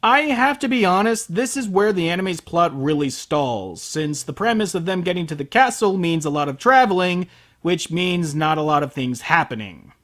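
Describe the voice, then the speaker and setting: A man's voice: high voice, lively intonation, moderate pace, a bit bright. A man delivering a scripted comedic review as host, sarcastic, exasperated and prone to swearing. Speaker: host of an anime review show.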